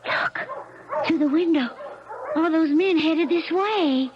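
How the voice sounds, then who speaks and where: A woman's voice: very high-pitched, takes it slowly. An actress playing a frightened, pleading young country woman in an urgent 1970s radio drama style. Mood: alarmed, urgent. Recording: dull vintage radio recording.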